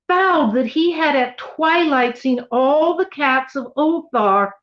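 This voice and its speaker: A woman giving a dramatic, theatrical reading of an eerie literary tale, drawing out her words for effect. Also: a little high. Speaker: reader at a live library event of dramatic readings. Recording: echoey, dull-sounding room recording.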